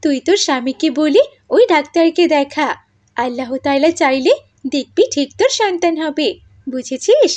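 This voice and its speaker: A woman's voice: high voice, expressive, normal speed, a little mellow. A woman voicing a caring female friend who gives earnest advice in a cartoon. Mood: caring, advising. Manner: earnest, advising.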